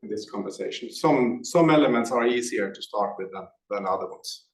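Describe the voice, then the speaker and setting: A man in a working group discussion, speaking in a measured, halting way with frequent pauses. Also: mid-range voice, animated. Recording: echoey room, distant mic.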